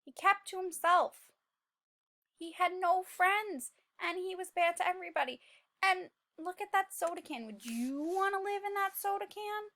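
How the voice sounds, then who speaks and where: A woman reading a picture book aloud as a teacher, expressive and exclaiming, prompting young children with questions. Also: very high voice, normal speed.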